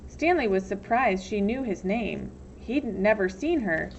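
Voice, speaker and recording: A woman's voice: mid-range voice, animated, unhurried, a little hiss or hum, poor audio. A woman reads a children's novel aloud as scripted storybook narration. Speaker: teacher reading aloud.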